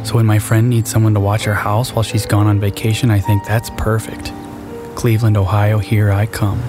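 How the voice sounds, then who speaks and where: An actor playing a dreamy, haunted young man, narrating a fiction podcast trailer in a hushed, deliberate, confiding way. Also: fairly low pitch, flat delivery, lightly acted. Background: loud music.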